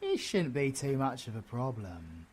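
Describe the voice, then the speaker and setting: A man's voice: mid-range voice, big pitch swings, unhurried, darkish tone, slightly rough. A man with a British accent playing a cocky, crude, wisecracking supervillain in an audio roleplay, full of cheeky, sweary banter. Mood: scheming, confident.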